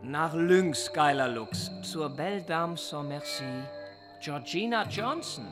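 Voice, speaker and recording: A man's voice: a little high, expressive. A man playing an exuberant, mocking young man in a radio drama, half singing and declaiming like a priest at liturgy. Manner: slow, declaiming, half-sung. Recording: echoey long reverb, loud music.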